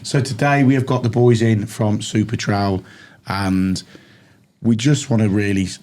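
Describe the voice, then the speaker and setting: A husky-voiced British man, tired from lack of sleep, hosting a casual trade podcast. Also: on the low side, steady tone, moderate pace, a little mellow. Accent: British English. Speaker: podcast host.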